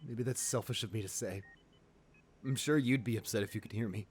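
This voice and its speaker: A man playing a ruthless but devoted lover in an intimate romantic ASMR roleplay, speaking in a halting, emotional, pleading way. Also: lowish voice, slight background noise, close to an everyday voice. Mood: grim, vengeful.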